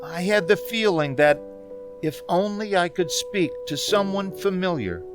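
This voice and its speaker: A woman playing a frightened, haunted man driving alone in a radio play, halting and repeating words under strain. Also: lowish voice, expressive, over music. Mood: desperate, weary. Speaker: community theater actor.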